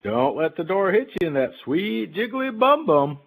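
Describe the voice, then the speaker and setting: A man playing a glib, sleazy shuttle pilot in a game-based audio drama, smooth-talking like a salesman. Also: high-pitched, big pitch swings, moderate pace, full character voice, low-quality recording. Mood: brisk, cheeky. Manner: smooth-talking, falsely reassuring salesman patter. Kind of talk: fan audio drama, game-story character acting.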